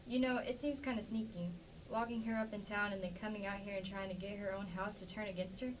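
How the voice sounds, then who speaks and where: A woman's voice: mid-range voice, steady tone, fast talker, natural voice. A woman playing a plainspoken, defensive farmer's wife in an amateur staged play reading. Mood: plain, musing. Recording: distant mic with faint background noise.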